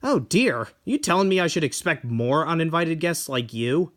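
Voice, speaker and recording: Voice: mid-range voice, big pitch swings, normal speed, close to an everyday voice. A performer playing a cocky, proud, hot-tempered male painter in a dramatic horror reading, blunt and wry. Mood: wry, confident.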